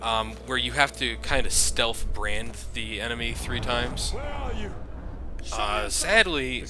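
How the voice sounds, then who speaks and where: A man's voice: mid-range voice, slow pace. A man giving casual, informal commentary on a video game. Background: game music and sound effects.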